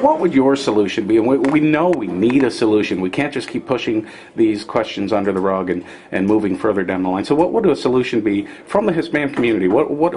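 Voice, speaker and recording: Low-voiced man asking interview questions. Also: a little mellow, a little hiss or hum. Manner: hesitant, many fillers while setting up questions.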